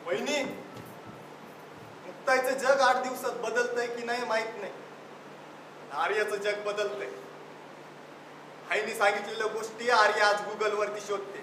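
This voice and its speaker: A man acts an earnest young uncle in a stage drama, stubborn and argumentative. His voice is high and projected, picked up from afar with echo and clear noise. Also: fairly even intonation, a little slow, long pauses, sharp tone. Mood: insistent, argumentative. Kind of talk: stage play dialogue in Marathi. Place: indoor stage at a youth festival. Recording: echoey stage from far off, noisy.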